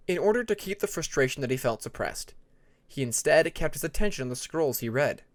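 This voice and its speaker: A man narrating fiction dramatically as an audiobook reader, with deliberate, measured phrasing. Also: medium pitch, no room sound.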